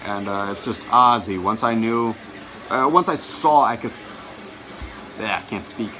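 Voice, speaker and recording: A man's voice: on the low side, measured pace, pushed and bright, faint music behind. A man vlogging in a casual chat to his viewers, rambling and often stumbling over his words and correcting himself. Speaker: vlogger showing his collection.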